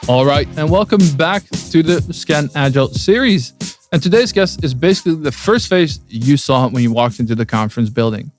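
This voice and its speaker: A man's voice: fairly high pitch, lively intonation, brisk. Upbeat man introducing an episode over faint music. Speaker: podcast co-host, Scrum trainer.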